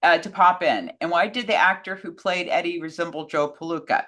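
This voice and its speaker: Lady co-host, bright forward voice, reads out fan questions, chatty and informal. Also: fairly low pitch, fairly quick. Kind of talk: casual chat and reading out questions.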